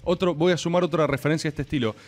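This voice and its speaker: A man's voice: on the high side, racing. A man in his thirties with an Argentine accent, hosting a casual streaming show and pressing his point over and over. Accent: Argentine Spanish. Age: 30s. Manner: animated, insistent, repeats himself. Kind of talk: casual banter.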